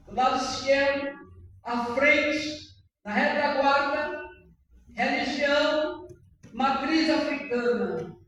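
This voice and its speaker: A woman's voice: high voice, muffled recording, slight background noise. Fervent Bahian woman preacher, echoing hall. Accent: Bahian Brazilian Portuguese. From Salvador, Bahia, Brazil. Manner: fervent preaching, sliding into sung phrases and shouts. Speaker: guest preacher. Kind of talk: sermon. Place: church. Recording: echoey church hall, voice from far off.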